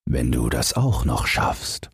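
A storybook king, as a male narrator reads him, very deep and husky. He speaks in a measured, stately way, commanding yet approving. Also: big pitch swings, dry recording, studio quality, full character voice. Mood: measured approval. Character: old-world king, approving and commanding, offering a bargain.

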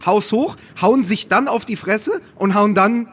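A man with a noticeably high voice chats casually about football as a podcast co-host. His delivery is wry and halting, circling back over words before he lands the point. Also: husky. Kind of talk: casual football talk, bantering.

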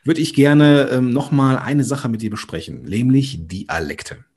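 Guy hosting a podcast, kinda husky, playful, trips on words sometimes. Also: mid-range voice, animated, unhurried, a little mellow. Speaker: podcast host. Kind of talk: casual podcast conversation.

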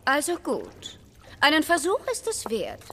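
A high, very animated pharaoh queen gives orders with haughty authority. She dots on her pet but treats others as beneath her. It is children's audio drama with a faint noise behind the bright voice. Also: unhurried, heavily acted. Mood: haughty, suspicious. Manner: haughty, commanding. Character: imperious Egyptian female pharaoh doting on her pet.

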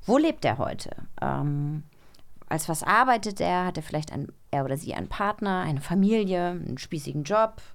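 A woman co-hosting a podcast in a casual, conversational style. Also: on the low side. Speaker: podcast co-host. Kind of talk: casual chat.

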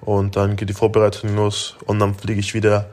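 Young man, late teens, from Germany, rambling interview answers, lots of fillers, fairly low voice, flat delivery. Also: moderate pace. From Germany (Augsburg). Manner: relaxed, rambling, many fillers and restarts. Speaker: interview guest, basketball player.

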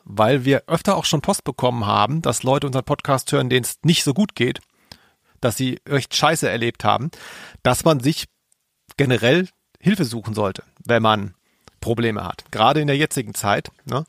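A man's voice: medium pitch, normal speed, gravelly, dry recording. Earnest man, dry close-miked podcast voice. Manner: earnest, explanatory. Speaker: podcast co-host. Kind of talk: casual podcast discussion.

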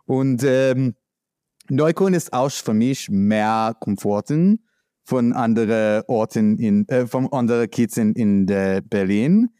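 American man in halting, filler-heavy speech. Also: mid-range voice, warm tone, no echo, clean studio sound. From United States. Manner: halting non-native speech with many fillers. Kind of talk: conversational interview.

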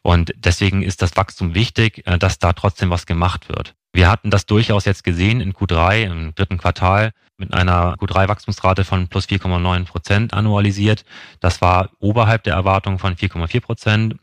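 A man's voice: deep voice, fairly even intonation, fairly quick, no echo. A man giving a wordy, explanatory analysis as an expert guest in an interview, circling back with asides. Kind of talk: analytical interview commentary on markets.

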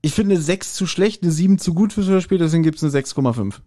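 A man with a mellow, relaxed tone that sits a little high in pitch. He speaks casually, like a podcast co-host sharing his opinion in a review. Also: fast.

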